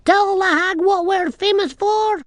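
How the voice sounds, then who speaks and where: High-pitched tiny Scottish warrior man. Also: steady tone, unhurried, no echo. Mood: boastful, rousing. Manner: dialect speech, exclamatory, loud. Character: tiny rowdy blue-skinned warrior man, anxious, boastful thief.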